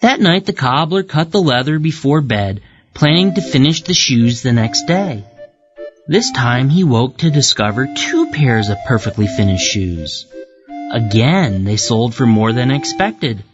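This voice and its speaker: A narrator reading a children's story aloud in an expressive, deliberate storytelling way for young learners. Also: medium pitch. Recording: muffled lo-fi audio with music behind. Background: music.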